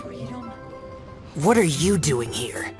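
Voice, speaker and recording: An actor playing an aloof, stern, brooding guardian spirit in an anime-style video game, curt and terse. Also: male voice, fairly high pitch, very animated, slow pace, music in the background, heavily acted.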